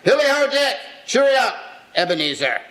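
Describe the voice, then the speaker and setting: Guy doing a jolly old boss, really high pitched, booming out orders in a radio play. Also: big pitch swings, moderate pace, a bit bright, full character voice, poor audio. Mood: jovial, bustling. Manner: hearty, exclamatory calling out. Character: jolly, booming old male merchant master. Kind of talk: live radio play acting.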